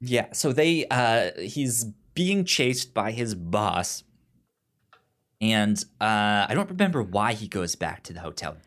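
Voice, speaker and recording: A man co-hosting a casual film discussion, with very lively, swooping intonation. His delivery is halting and stammering, with words repeated as he works toward a point. He slips into acting out lines as he goes. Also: fairly low pitch. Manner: animatedly acts out characters' lines. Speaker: film podcast co-host.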